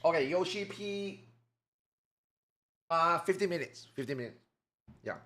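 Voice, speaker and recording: A man streaming live who chats casually, swears freely and reacts loudly to his viewers, and he says he is losing his voice. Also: on the high side, animated, measured pace.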